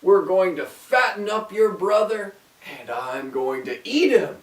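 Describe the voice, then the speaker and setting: A man voicing a wicked old female witch who eats children, in a high, loud, sing-song old-lady voice. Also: lively intonation, measured pace. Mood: bossy. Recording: echoey room, mic far off.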